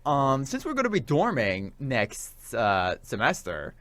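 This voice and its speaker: A man's voice: mid-range voice, wildly swooping pitch, unhurried, fairly bright tone, no room sound. A young adult man chatting casually about video games as a talk show co-host, in an eager, rambling, informal way. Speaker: college radio show co-host.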